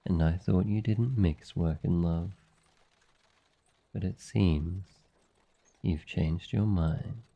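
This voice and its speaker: A man playing a devoted, jealous young demon familiar in love, speaking softly and intimately in an ASMR boyfriend roleplay. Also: low-pitched, creaky, dull audio, heavily acted. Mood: tender, romantic.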